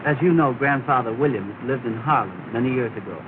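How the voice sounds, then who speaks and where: A man's voice: mid-range voice, a little fast, natural voice. An actor playing an earnest young man, speaking politely and formally in a 1930s film. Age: young adult. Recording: tinny hissy 1930 film soundtrack.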